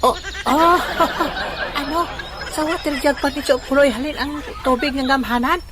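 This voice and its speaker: A woman's voice: high-pitched, moderate pace, crowd noise, background noise, lightly acted. A woman playing an eager, comical uncle in an audio drama, with a Filipino accent and an exclamatory, repetitive delivery. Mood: eager, curious. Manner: exclamatory, repeats phrases.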